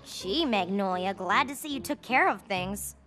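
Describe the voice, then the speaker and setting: A woman's voice: medium pitch, expressive, measured pace, loud music behind the voice, close to an everyday voice. A woman voicing a bossy, sarcastic, no-nonsense girl in a cartoon. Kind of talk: animated cartoon acting.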